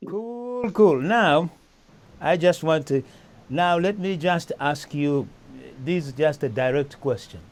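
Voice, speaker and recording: A man's voice: a little high, lively intonation, slow. A man hosting a casual music interview, talking with enthusiasm and often repeating himself. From East Africa. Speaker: host. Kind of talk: casual chat.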